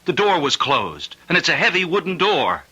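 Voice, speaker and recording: A man's voice: fairly high pitch, very animated, moderate pace, pushed and bright, slightly rough, exaggerated voice. An actor playing an anxious, stubborn policeman husband in an old-time radio drama, speaking earnestly and insistently. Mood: urgent, insistent. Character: anxious, stubborn policeman husband forbidding his wife to wish.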